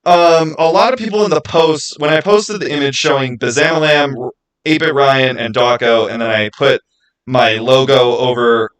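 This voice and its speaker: A man from the Americas talks casually on a gaming livestream. His voice sits at an average male pitch. He replies to viewers in a loose, halting way, often restarting his sentences as he explains. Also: a bit bright, low-quality recording. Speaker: live streamer and gaming YouTuber. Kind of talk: casual livestream chat, answering viewer comments.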